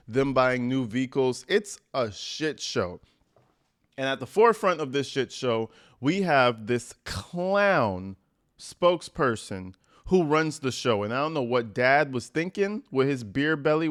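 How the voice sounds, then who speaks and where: A man's voice: mid-range voice, a bit bright. A man hosting a reaction stream, giving casual, opinionated commentary in a blunt, profane, conversational way. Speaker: host of a reaction livestream.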